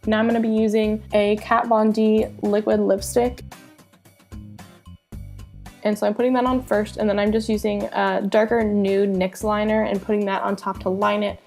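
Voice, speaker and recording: A young woman narrates her makeup routine in a chatty, upbeat way, her voice nasal from a cold. There's a gravelly edge to her tone, and faint music plays softly underneath. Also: medium pitch, flat delivery, normal speed. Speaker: beauty vlogger. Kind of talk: makeup tutorial voice-over.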